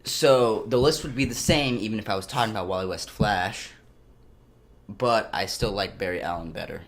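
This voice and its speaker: A man's voice: lowish voice, normal speed. A young man co-hosting a casual chat, rambling and losing his train of thought. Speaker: co-host.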